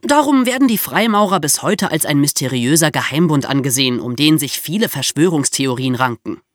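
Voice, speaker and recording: A podcast co-host explaining history conversationally, with expressive, playfully ironic emphasis. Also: mid-range voice, no echo, studio quality.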